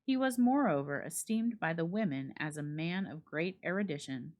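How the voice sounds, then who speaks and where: Expressive woman narrator, lively and low-pitched. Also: moderate pace. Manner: expressive read-aloud delivery. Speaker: library staff reader. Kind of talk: dramatic storybook narration.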